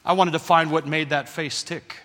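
A man's voice: fairly high pitch, normal speed, long reverb tail. A man preaching a sermon, telling a story and calling on the congregation to answer him. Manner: animated, calls for congregation response.

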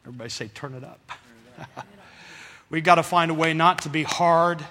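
A man's voice: fairly high pitch, normal speed, in a large hall. A man preaching a sermon, telling a story and calling on the congregation to answer him. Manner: animated, calls for congregation response.

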